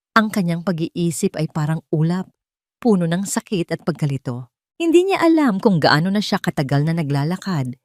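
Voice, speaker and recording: A woman narrates a dramatic story from a script. Her voice sits a little low, and the sound is very dry and close, with no room around it. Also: darkish tone, no echo.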